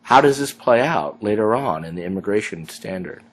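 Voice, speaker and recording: A man's voice: fairly low pitch, expressive, moderate pace. A man teaching a class, guiding students through an immigration case with a run of questions. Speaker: law instructor. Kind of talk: lecture commentary.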